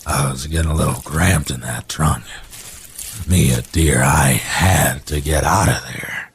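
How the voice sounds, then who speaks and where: Vengeful reanimated husband: rock-bottom pitch, unhurried, menacing, horror radio play, slight noise behind. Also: male voice. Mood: sinister menace. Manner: menacing, slow, sardonic. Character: murdered husband reanimated as vengeful, sardonic dismembered corpse. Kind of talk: horror audio drama acting.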